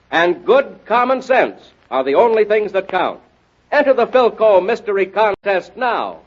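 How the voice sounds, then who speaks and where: A man announcing in 1930s radio style, delivering an emphatic, exhortative promotional pitch. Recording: muffled vintage radio broadcast recording.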